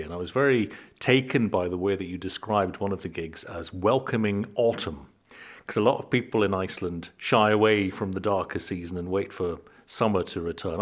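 A man hosting a radio interview, speaking as a presenter to a guest. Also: sits low. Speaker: radio host.

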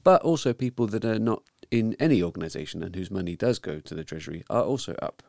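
A man hosting a weekly news talk show, speaking in a measured way with frequent ums. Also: fairly low pitch, expressive, gravelly, darkish tone, no room sound, studio quality. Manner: measured, hesitant with many ums. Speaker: podcast host. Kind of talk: casual news discussion.

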